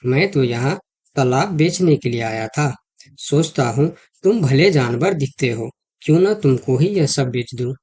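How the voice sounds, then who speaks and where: A crafty jackal swindler from a children's animated tale, voiced by a man. He speaks at a middling pitch in a coaxing, falsely friendly way. Every line is smooth salesmanship. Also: a little slow. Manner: persuasive, smooth-talking. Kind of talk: Hindi children's cartoon story dialogue.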